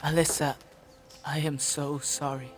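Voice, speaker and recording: An actor playing a naive, caring young farm boy in a dark fantasy audio drama, informal and earnest in manner. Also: medium pitch, level tone, unhurried, music in the background, close to an everyday voice. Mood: subdued, sorry.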